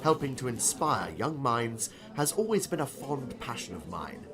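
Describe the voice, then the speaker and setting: An older retired professor and novelist played by a man, genial and a little corny. His pitch moves expressively as he speaks with courteous, philosophical warmth in an audiobook drama. A crowd murmurs behind him. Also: very animated, natural voice. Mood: cheerful. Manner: courteous, gently philosophical, measured.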